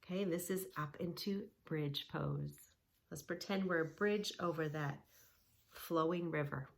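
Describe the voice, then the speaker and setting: A gentle woman reading a picture book to children and guiding them through yoga poses. She speaks slowly in a low, soothing voice. Her instructions are calm and clear. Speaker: kids yoga teacher and reader.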